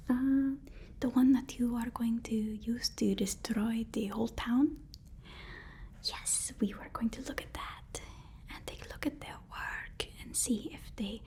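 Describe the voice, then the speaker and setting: A woman playing a devoted, anxious assistant to a supervillain in an ASMR roleplay, whispering in a halting, soothing, deferential way. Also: a little high, warm tone, husky, heavily acted.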